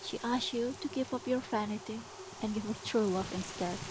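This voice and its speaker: A woman's voice: a little high, moderate pace, noisy background, lightly acted. A woman voicing an indignant young daughter, earnest and reproachful, in a dramatic audiobook reading. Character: indignant young daughter defending her mother.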